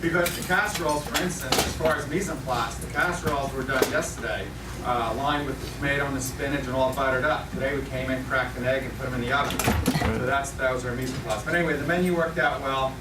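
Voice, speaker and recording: A man's voice: medium pitch, steady tone, fast talker. Man explaining cooking in an echoey room. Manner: explains methodically with fillers. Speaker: culinary instructor. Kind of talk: informal explanation to guests. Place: college dining room. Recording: echoey room from far off, loud noise.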